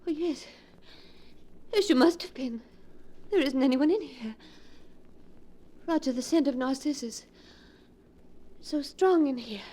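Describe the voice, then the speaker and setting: Anxious high-voiced 1940s radio wife. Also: female voice, unhurried, pauses often, mellow. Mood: relieved, probing. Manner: dramatic, emotional, careful diction. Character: anxious, devoted wife, frightened and pleading. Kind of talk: 1940s radio drama acting. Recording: vintage 1940s radio recording with music.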